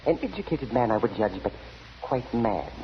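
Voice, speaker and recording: An actor playing an elderly, insistent, eccentric gentleman witness, fussy and precise, in 1940s radio style. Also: medium pitch, very animated, normal speed, natural voice. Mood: precise, certain. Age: older. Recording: muffled, hissy 1940 radio broadcast.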